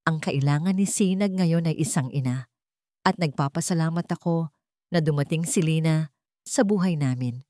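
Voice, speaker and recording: A woman's voice: low voice, moderate pace, dark tone, no echo, studio quality, natural voice. A woman voicing a stern, dismissive rich father who speaks to his maid in a firm, commanding way.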